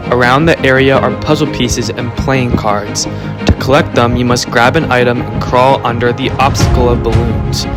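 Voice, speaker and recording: Give me a man in a middle register, host-style and dramatic, with music underneath. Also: mid-range voice. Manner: dramatic, measured build-ups. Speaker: host and narrator. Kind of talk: scripted narration.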